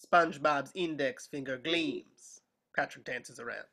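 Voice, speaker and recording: A narrator with a bright, crisp-edged tone and mid pitch reads scripted stage directions. The delivery is that of a table reading, describing the action. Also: measured pace.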